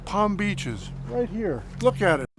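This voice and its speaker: A man acting as a fishing guide on a boat, chatty and energetic, explaining tips step by step. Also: high voice, takes it slowly, noisy background. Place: on a boat offshore, outdoors.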